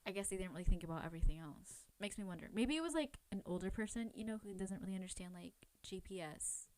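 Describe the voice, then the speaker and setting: A woman hosts a true crime podcast in a casual, chatty style, at an average pitch. She rambles informally, second-guesses herself and peppers her talk with fillers. The sound is close and dry, with no room echo. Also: expressive, fast, darkish tone. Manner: informal, rambling, self-questioning, filler-heavy with frequent "like". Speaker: podcast host.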